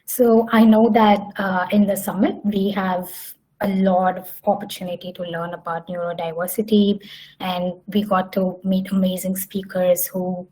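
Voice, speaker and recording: A woman's voice: medium pitch, little pitch movement, moderate pace, darkish tone, poor audio. A woman, giving an online conference presentation with many fillers and restarts. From Hyderabad, India. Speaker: summit speaker.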